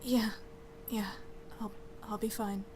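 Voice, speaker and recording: A woman's voice: level tone, slow pace, lightly acted. A woman playing a shaken, sorrowful friend in an audio drama, speaking hesitantly over an internet call. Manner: subdued, hesitant. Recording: internet call with rumbling background noise.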